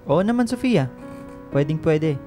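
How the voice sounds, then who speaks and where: A kind fatherly man in a radio drama, gentle and affectionate, soft music behind. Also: on the high side, expressive, a little slow. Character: kindly, affectionate man, new father figure.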